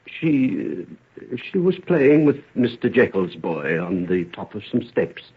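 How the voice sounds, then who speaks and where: Devoted father, emotional radio drama, lowish husky voice, dull vintage recording. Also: very animated, a little slow, exaggerated voice. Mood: grave, relieved. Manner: earnest, emotional. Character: devoted, anxious father and loyal old friend. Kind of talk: old-time radio drama acting.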